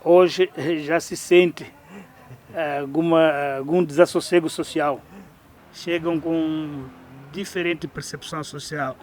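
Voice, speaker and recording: A man reflecting in a measured way on how the town has changed, in a documentary interview. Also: fairly high pitch, steady tone, darkish tone.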